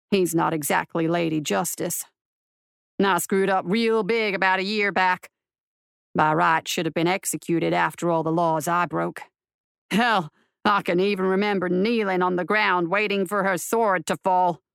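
A woman's voice: lowish voice, creaky, clean tone, dry recording, clean studio sound, voice pushed down. A woman voicing a wry, bored frontier marshal doing penance, with a folksy, rueful way of telling his story in an audiobook. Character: male wandering lawman marshal, wry, bored, penitent.

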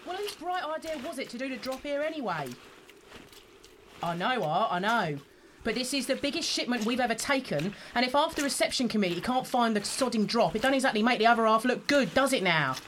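A woman's voice: on the high side, lively intonation, fairly quick, fairly bright tone, slight background noise. Woman playing a bossy, exasperated smuggler. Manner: impatient, scolding, sarcastic. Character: exasperated bossy female smuggler waiting in marsh. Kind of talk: radio drama acting.

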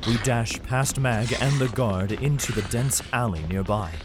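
A man's voice: mid-range voice, measured pace, lightly acted. A man playing a desperate father fleeing an alien invasion, narrating his escape in a first-person audio drama. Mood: tense narration. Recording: music bed under the voice.

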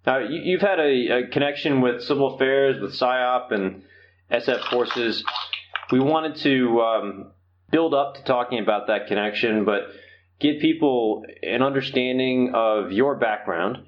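Podcast host, male, American accent, orderly and explanatory, average pitch, a little muffled on the recording. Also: unhurried, slight background noise. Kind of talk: interview hosting, prepared introduction. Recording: slightly dull, lo-fi podcast audio.

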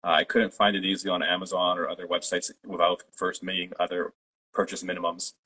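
Low husky-voiced man. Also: quick pace. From Canada. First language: Polish. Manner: steady, detailed storytelling. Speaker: guest, company founder. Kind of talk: interview.

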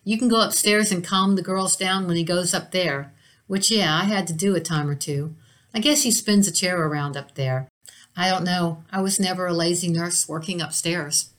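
A woman's voice: fairly low pitch, normal speed, fairly bright tone. A woman telling a first-person ghost story in a conversational way, acting out the quoted speech as she reads. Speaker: reader of a listener's story. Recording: music behind the voice.

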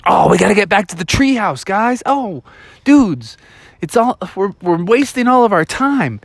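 A man's voice: sits high, big pitch swings, moderate pace, vocal fry, husky, dry recording, pitched above the performer's own voice, heavily acted. A man voicing cocky, touchy bear kids with a slangy, boastful manner in a children's story. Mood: urgent, dismayed.

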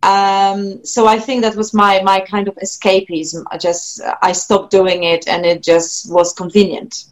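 A woman with a Polish accent, a singer-songwriter speaking as an interview guest, thoughtful, with frequent restarts and soft laughs. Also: medium pitch, little pitch movement, unhurried, hardly any pauses, rough recording. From Poland. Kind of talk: reflective interview talk.

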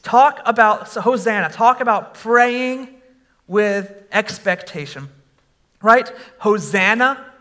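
A man preaching a sermon to a congregation, conversational with fillers, and breaking into animated shouts when he exclaims. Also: sits high, slow pace. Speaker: pastor.

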